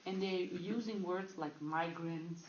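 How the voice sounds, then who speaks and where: Woman from Berlin, fairly low voice, lo-fi echoey room, animated storytelling. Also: a little slow, a little hiss or hum. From Berlin, Germany. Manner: acts out dialogue with raised, lively voice. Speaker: interview guest. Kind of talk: conversational interview answer.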